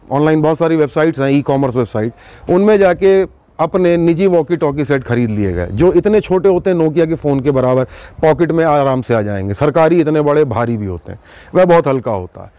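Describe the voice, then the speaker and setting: Man, fairly high voice, news exposé host, emphatic delivery, rhetorical questions, dramatic pauses, very dry sound. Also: a little mellow, dry recording. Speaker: host of an investigative news show. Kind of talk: news exposé monologue to viewers.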